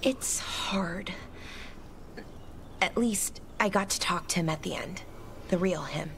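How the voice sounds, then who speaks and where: An actress playing a grieving, earnest young elf woman in a video game, speaking tenderly in halting short phrases. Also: lowish voice, vocal fry, a little hiss or hum. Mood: grieving, hushed. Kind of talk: video game cutscene acting. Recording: game audio with music underneath.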